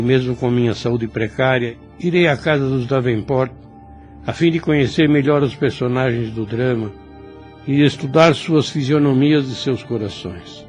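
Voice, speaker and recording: A man's voice: mid-range voice, a little mellow, lightly acted. A Brazilian actor playing a kindly, ailing elderly priest in an audio drama, solemn, fatherly and formal. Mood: solemn concern. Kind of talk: Brazilian radio drama acting.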